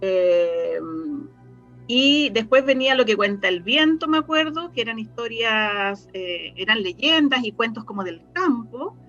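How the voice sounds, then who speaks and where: A woman's voice: a little high, animated, a little slow, fairly bright tone, lo-fi sound, soft background music. A woman with a Chilean accent chatting casually as an interview guest, searching for her words as she goes. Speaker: interview guest, radio drama writer and director. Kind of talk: casual reminiscing conversation.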